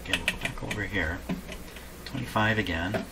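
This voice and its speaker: A man with a deep voice talks through hands-on bench work as if thinking aloud. He is casual and unhurried, musing with a dry sense of humor. The recording has clear background noise, in an indoor home workshop. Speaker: host of a radio repair video.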